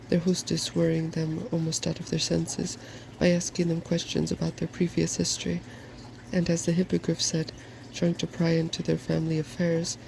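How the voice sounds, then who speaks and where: A soft-spoken narrator gently reading a fairy tale in an ASMR style. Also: mid-range voice, gravelly, a little hiss or hum, low-quality recording.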